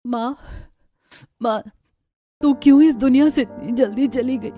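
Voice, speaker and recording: A woman voicing a meek, lonely, mistreated young stepdaughter in an animated melodrama, with plaintive delivery. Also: high-pitched, a little slow, faint music behind. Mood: sorrowful. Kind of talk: Hindi animated family melodrama acting.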